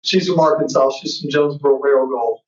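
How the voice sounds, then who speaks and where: A man's voice: medium pitch, fairly quick. Fifty-something man, distant and roomy. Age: 50s. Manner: rambling, excited storyteller. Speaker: guest, entertainment show host. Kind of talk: casual interview chat. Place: indoor room. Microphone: far camera on a video call. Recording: roomy, distant, muffled video-call audio.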